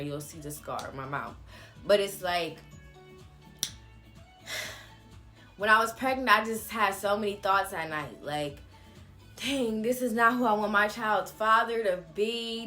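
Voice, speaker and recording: A young woman telling a personal story in a casual, candid, slangy vlog style with animated asides. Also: mid-range voice. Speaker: vlogger.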